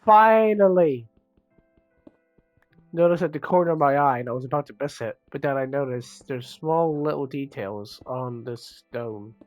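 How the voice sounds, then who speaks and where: A man with a cold, sounding croaky and sniffly, rambling casually as he comments on his own gameplay, with self-mocking asides. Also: medium pitch, expressive, moderate pace, a little mellow, no echo, soft background music. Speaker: gaming channel host.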